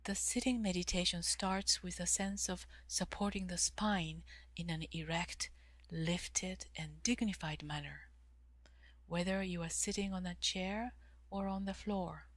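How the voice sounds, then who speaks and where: A woman leading a guided meditation in a calm, measured way, with long pauses and soft, breathy phrase endings. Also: on the low side, no echo. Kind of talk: guided meditation, slow instruction.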